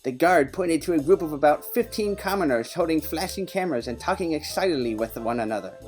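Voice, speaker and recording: An actor playing a quirky, earnest detective who narrates an audio play in matter-of-fact, nerdy game jargon. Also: male voice, on the high side, expressive, fast talker, a little mellow, soft background music, close to an everyday voice. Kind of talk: scripted first-person audio play narration.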